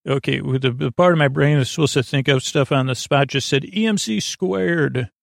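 A man hosts a sleep podcast in a gravelly, creaking voice at an average male pitch. He meanders from tangent to tangent, hesitating and gently putting himself down as he goes. The delivery is meant to lull, and the sound is very dry, with no room around it. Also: normal speed, no echo. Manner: meandering, hesitant, lulling, self-deprecating. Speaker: podcast host. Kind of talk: rambling, tangent-filled sleep podcast monologue.

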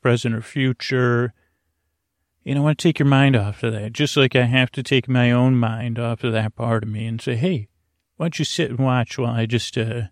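Male sleep-podcast host: creaky mid-pitched voice, halting and digressive, rambling bedtime delivery. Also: dry recording, studio quality. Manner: meandering, halting, drawn-out delivery with asides.

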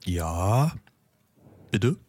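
A man's voice: low voice, sing-song, drawn-out words, a little mellow, husky, dry recording, full character voice. A man playing an obliging, flustered post office clerk who stammers hesitantly in bemusement in an amateur audio drama.

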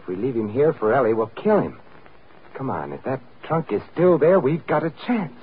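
Suave radio sleuth, animated delivery. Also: male voice, mid-range voice, moderate pace, breathy edge, narrow, tinny audio. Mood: decisive. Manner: insistent, probing, dryly witty. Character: suave, commanding male amateur sleuth, urgent and wry. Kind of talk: old-time radio drama acting. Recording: thin, noisy vintage radio broadcast recording.

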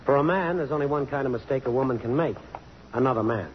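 An actor playing a cocky, wisecracking press photographer, breezy and sardonic, in 1950s radio drama style. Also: male voice, mid-range voice, quick pace, lightly acted. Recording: muffled, noisy old radio recording.

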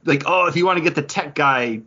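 A man's voice: a little high, fairly quick, sharp tone, slightly rough, lo-fi sound. A man chatting casually as a podcast host, rambling and peppering his talk with "like.". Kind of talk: casual comic book review chat.

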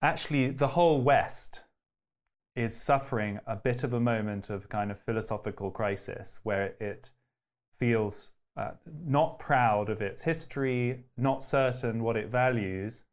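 A man's voice: mid-range voice, unhurried. Guy hosting an interview, asks long earnest questions, keeps rephrasing as he goes, normal middle voice. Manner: earnest, searching, rephrases while asking. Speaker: interview host.

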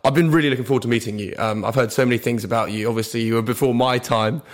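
A man's voice: mid-range voice, fairly even intonation, racing, no echo, clean studio sound. A young man speaking as a podcast host, introducing and interviewing his guest. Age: young adult.

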